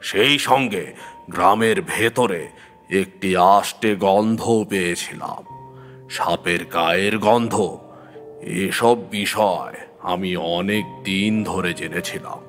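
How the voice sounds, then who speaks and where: Casting: a man as a grave, composed occultist narrator, deep-set pitch and unhurried, deliberate pacing for horror audio drama. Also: a bit bright, soft background music. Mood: grave, reflective. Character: calm male tantric occultist recounting a village horror.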